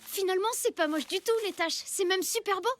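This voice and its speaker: An actor playing a spirited little boy in an animated series, with informal, exclaiming kid talk. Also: extremely high pitch, fairly quick, slight background noise. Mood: earnest. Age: child. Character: Tib, spirited little cave boy with a spotted face. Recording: music under the dialogue.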